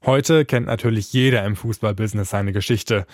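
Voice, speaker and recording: A man's voice: lowish voice, normal speed, no echo. A man narrating a scripted podcast as its host and reporter, speaking into a close microphone.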